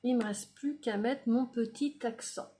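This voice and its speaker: High-voiced chatty woman crafting. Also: unhurried. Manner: chatty, hesitant with many fillers; quiet, murmured while working on the craft. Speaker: crafting YouTuber. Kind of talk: casual card-making tutorial.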